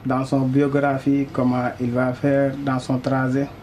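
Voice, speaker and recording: A male radio host introduces guests and questions in loose, halting sentences. His tone is warm and mellow, with faint background noise behind him. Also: medium pitch, completely flat delivery. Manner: informal, halting phrasing. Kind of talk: hosting a radio talk show.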